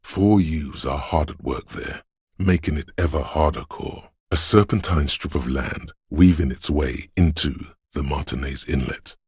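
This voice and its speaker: A man voicing a brooding, all-seeing inner voice in a video game, narrating slowly and solemnly in a hushed, gravelly husky tone. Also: rumbling low, no echo, clean studio sound, heavily acted. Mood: solemn, haunting. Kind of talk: slow atmospheric scripted game narration.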